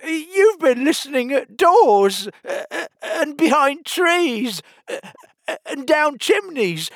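A man voicing a pompous, touchy egg creature from a storybook, haughty and blustering, with halting stammers. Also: fairly high pitch, big pitch swings, a bit bright, no echo, clean studio sound, voice pushed up, heavily acted. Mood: solemn, outraged. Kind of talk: storybook character reading.

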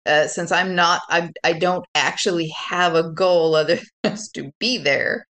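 A woman's voice: fairly low pitch, a little slow, fairly bright tone. A woman playing a tabletop role-playing game, casually thinking aloud about what her character will do.

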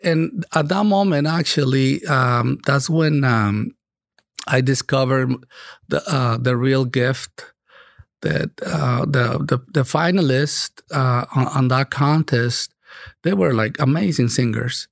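Gravelly Mexican-accented man, halting. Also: mid-range voice, dry recording, clean studio sound. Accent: Mexican Spanish. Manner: halting, many fillers and restarts. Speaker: parish choir singer, guest. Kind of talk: casual interview conversation.

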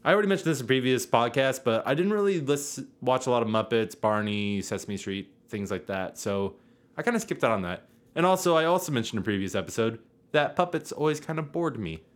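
A man's voice: medium pitch, lively intonation, brisk. A young man co-hosting a podcast in casual chat, sarcastic and joking, swearing freely. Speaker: podcast co-host.

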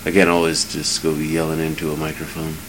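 A deep-voiced man from Southern California co-hosting a casual podcast, rambling and swearing freely with lots of restarts. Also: completely flat delivery, a little fast. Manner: rambling and profane, with many restarts. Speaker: podcast co-host. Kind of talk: casual chat. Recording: noisy home podcast recording.

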